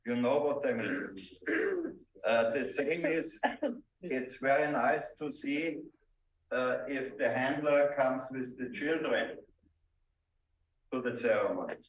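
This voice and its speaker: A man with an Austrian accent, a native German speaker, presenting a seminar in halting, simple English with deliberate emphasis. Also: mid-range voice. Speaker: presenter instructing dog-trial judges. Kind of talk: seminar lecture. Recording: dull, echoey room, distant microphone.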